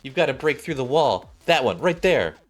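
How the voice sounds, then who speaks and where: A man reading the part of a brash, excitable young female gamer in a video game, teasing and emphatic. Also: medium pitch, normal speed, faint music behind, voice pushed up.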